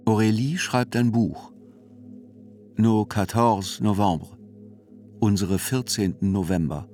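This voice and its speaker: A man reading scripted literary reportage as narrator of a radio play, in a measured, dry, articulate manner. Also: fairly low pitch, warm tone. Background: music.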